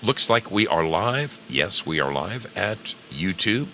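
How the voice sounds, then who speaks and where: Deep-voiced, animated man hosting a live stream. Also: slow, slight background noise. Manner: effusive greetings, informal slangy address. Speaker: live-stream host of an old-time radio program. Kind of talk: casual live-stream chat, greeting listeners.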